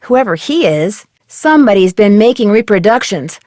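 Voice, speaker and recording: A woman voicing a curious, plucky young female amateur detective in a video game, musing to herself in clearly spoken short lines. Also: medium pitch, sing-song, normal speed, darkish tone, dry recording, studio quality, close to an everyday voice. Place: dry studio.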